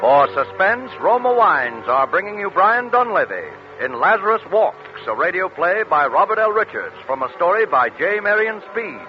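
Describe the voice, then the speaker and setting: A man's voice: high-pitched, sing-song, moderate pace, few pauses. A man announcing a radio thriller show in dramatic 1940s style. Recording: dull 1940s radio recording with music. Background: music.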